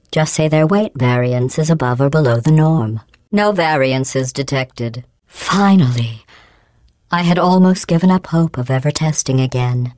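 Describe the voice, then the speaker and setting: A woman voicing a cold, sarcastic female AI overseer in a video game, talking in a deadpan, condescending, passive-aggressive way with robotic processing. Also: a bass voice, no echo, clean studio sound. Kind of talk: game voice clips.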